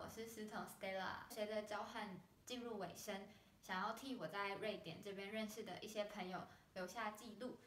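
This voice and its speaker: A woman's voice: mid-range voice, fairly bright tone, echoey room, recorded from a distance, slight background noise. A woman in her twenties with a Taiwanese Mandarin accent, friendly and plain as she introduces her vlog. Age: 20s. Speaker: vlogger interviewing exchange students. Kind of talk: vlog narration.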